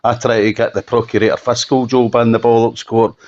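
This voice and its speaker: A Scottish man giving an unscripted telephone interview, halting and often repeating himself for emphasis. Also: mid-range voice, little pitch movement, a little mellow. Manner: halting, repetitive, emphatic restatements. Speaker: campaigner being interviewed. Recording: dull lo-fi phone call.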